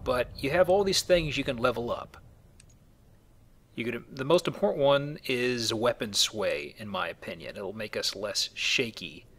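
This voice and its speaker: A man's voice: medium pitch, a little slow, fairly bright tone. A man hosting a let's play with casual, explanatory game commentary and joking asides.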